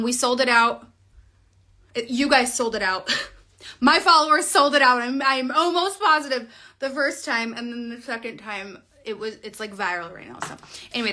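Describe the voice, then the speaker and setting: Bubbly bright-voiced woman doing makeup live. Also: on the high side. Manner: chatty, bubbly, talks while applying makeup. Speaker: makeup livestream host. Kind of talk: casual livestream makeup tutorial. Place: small indoor room at home. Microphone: phone camera at arm's length.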